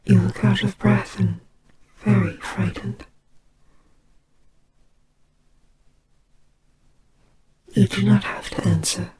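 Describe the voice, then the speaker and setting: A woman voicing a gentle, soothing goddess of death in an audio roleplay, speaking softly and breathily with hesitant, reassuring care for a child. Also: medium pitch, little pitch movement, normal speed, natural voice. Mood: gentle, concerned. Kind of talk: slow dramatic audio roleplay. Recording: clearly noisy amateur home recording. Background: ambience sound effects.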